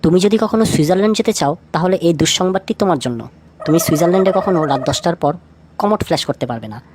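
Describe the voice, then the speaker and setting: A woman with a slightly low voice presents a light trivia feature from a script. She addresses the listener directly, posing questions as she narrates. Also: expressive, fast, noisy background. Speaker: lead narrator of a feature video.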